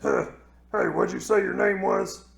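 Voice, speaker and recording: A man voicing a dim, boastful, tipsy Cyclops monster in a slow, self-satisfied way, for a comic story skit. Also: sits high, little pitch movement, creaky, pitched above the performer's own voice, heavily acted. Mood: gloating. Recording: roomy echo.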